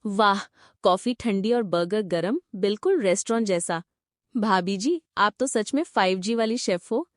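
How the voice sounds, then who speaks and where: A woman's voice: mid-range voice, measured pace, dry recording, studio quality, natural voice. A woman voicing a blunt young college friend in a cartoon story. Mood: impressed. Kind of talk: cartoon voice acting.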